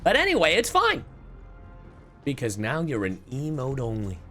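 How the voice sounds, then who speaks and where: Casual livestream host needed: mid-pitched, hugely expressive and theatrical, talking over game music. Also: moderate pace. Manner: theatrical reactions, swooping between exclamations and low asides. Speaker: streamer. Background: video game music and dialogue.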